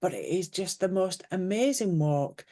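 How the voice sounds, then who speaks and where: Chatty woman, low-ish voice. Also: animated, normal speed. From Yorkshire, England. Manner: chatty and warm, many fillers and restarts. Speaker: author guest. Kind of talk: casual conversational interview.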